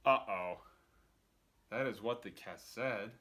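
A man's voice: on the low side, takes it slowly, a bit bright, a little hiss or hum. A man in his thirties reading a picture book aloud expressively for children, with casual asides. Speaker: story time reader. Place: indoors on a couch.

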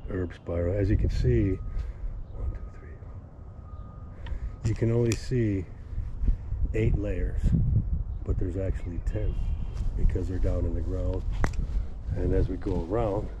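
A man's voice: sits low, steady tone, slow, pauses often, muffled recording, noisy background. A middle-aged man in a casual walk-and-talk vlog, filmed outdoors in a suburban backyard on an action camera held on a selfie stick, talking in a rambling, upbeat way. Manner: rambling, upbeat, self-correcting. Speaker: landscaper vlogging a garden build.

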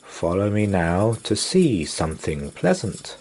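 A man's voice: low-pitched, sing-song, a little slow, a little mellow, full character voice, low-quality recording. A man voicing a jolly, kindly festive spirit in a storybook, with a hearty declamatory manner.

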